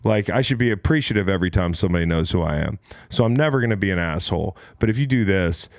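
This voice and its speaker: An American man of about forty with a fairly low voice, recorded close and dry. He talks like a podcast host among friends, blunt and sweary, telling stories in loose casual banter. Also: lively intonation, fast talker, no echo. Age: around 40.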